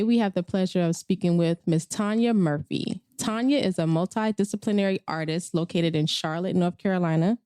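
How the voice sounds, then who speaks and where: A chatty woman hosts a podcast interview, reading out a guest's bio and then bantering easily. Her voice sits a little low and sounds mellow and relaxed. The sound is dry, with no room echo. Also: smooth. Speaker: podcast host. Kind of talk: read-out guest introduction then casual interview.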